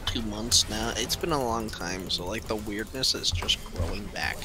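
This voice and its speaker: A man's voice: fairly low pitch, moderate pace, over music. A man streaming a video game, chatting casually and swearing a lot in a goofy way. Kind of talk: casual gaming chat. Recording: clear room noise.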